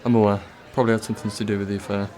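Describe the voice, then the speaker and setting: Man acting an uneasy, low-voiced murder suspect. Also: flat delivery, rapid-fire, darkish tone, close to an everyday voice. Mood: defensive. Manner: hesitant, guarded. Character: defensive, uneasy male office worker under suspicion. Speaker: actor in a radio play. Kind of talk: student radio drama acting. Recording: cafe crowd chatter behind.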